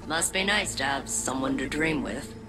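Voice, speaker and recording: Deadpan, sardonic ship AI. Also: female voice, rumbling low, moderate pace, music in the background, rough recording. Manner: deadpan, sarcastic. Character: dry, sardonic female ship AI.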